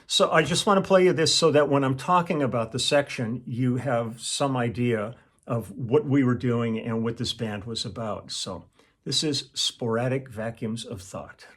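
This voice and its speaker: A man's voice: medium pitch, moderate pace, a bit bright. An older man, a session musician, reminiscing in a casual, rambling monologue full of restarts and quoted asides. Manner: rambling, self-interrupting, with chuckles and quoted asides. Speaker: session musician hosting his own channel.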